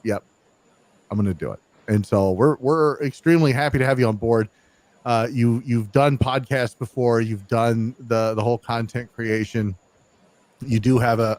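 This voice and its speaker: A man's voice: lowish voice, no room sound. A man hosting a live podcast over a video call, telling stories in casual chat and swearing freely. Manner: swears freely, excitable storytelling. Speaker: podcast host.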